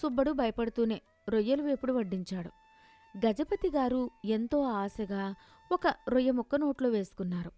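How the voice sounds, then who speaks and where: High-pitched woman, scripted storybook narration, faint music behind. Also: expressive, moderate pace, dark tone.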